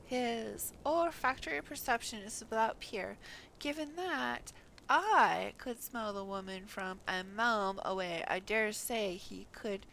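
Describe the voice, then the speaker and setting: A woman's voice: mid-range voice, slow, gravelly, a bit bright, a little hiss or hum, poor audio. A woman voicing a fussy, refined game character in a formal, haughty, precise manner. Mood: haughty, disdainful. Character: fussy, refined connoisseur with a keen nose. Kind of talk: game dialogue read aloud in character.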